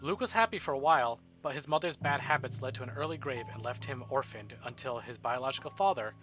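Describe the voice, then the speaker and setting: A man streaming a visual novel, reading text aloud and commenting with free swearing in an animated manner. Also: medium pitch, quick pace, muffled recording. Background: game music.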